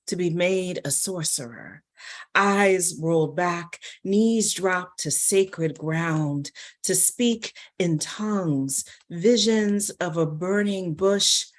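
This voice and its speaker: A woman giving a deliberate, measured literary reading of scripted narration and poetry. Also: fairly low pitch.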